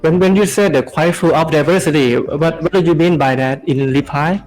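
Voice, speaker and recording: A young man hosting a casual podcast, talking in halting phrases with many fillers. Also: a little high, a little mellow, faint music behind, rough recording. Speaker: podcast host.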